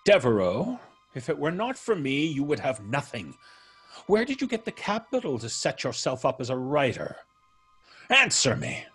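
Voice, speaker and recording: A gloating, menacing ex-convict out for revenge in old-time radio style. His taunts are theatrical and mock-polite, swinging widely in pitch, with a husky edge to the voice. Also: wildly swooping pitch, moderate pace, fairly bright tone, faint music behind, heavily acted. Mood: demanding, angry.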